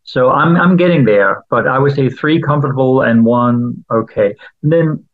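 A man's voice: mid-range voice, normal speed, narrow, tinny audio. A Danish man, a native Danish speaker, answering interview questions about the Bible as a translator, thoughtful and questioning with frequent fillers. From Denmark.

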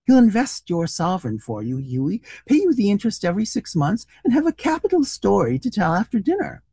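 A reader voicing an amused, worldly young English gentleman in a storybook, bantering in exclamations. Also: mid-range voice, big pitch swings, fairly quick, slightly rough, no echo, studio quality, pitched above the performer's own voice. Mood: amused explaining.

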